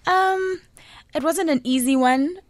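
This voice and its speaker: A young woman from Zambia, a musician guest on a radio interview, answering in a measured, articulate way. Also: high voice, no echo.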